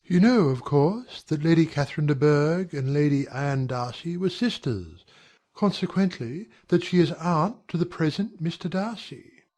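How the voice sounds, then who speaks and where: A man playing a charming young gentleman who confides his resentments in a dramatized audiobook. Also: a little high, animated, unhurried. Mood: confiding.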